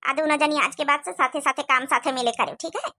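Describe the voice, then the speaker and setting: A woman's voice: high voice, fairly quick, bright, forceful tone, soft background music. A woman voicing a commanding older village mother-in-law with an Awadhi accent in a cartoon comedy. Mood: conciliatory. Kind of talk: rural cartoon comedy dialogue.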